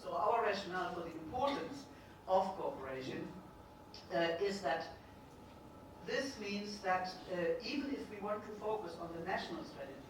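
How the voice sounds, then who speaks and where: A middle-aged woman speaking loudly at a policy conference, pausing often with fillers and restarts. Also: on the low side, animated. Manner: hesitant, many fillers and restarts; loud projecting voice. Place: large conference hall. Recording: echoey hall from far off, noisy.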